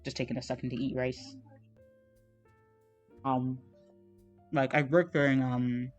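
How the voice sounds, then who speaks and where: A man's voice: mid-range voice, unhurried, highs cut off, faint music behind. Young man rambling while he draws. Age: young adult. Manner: rambling and meandering, many fillers and trailing-off thoughts; soft, relaxed, self-deprecating talk. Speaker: art streamer. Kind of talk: casual chat while drawing on a livestream.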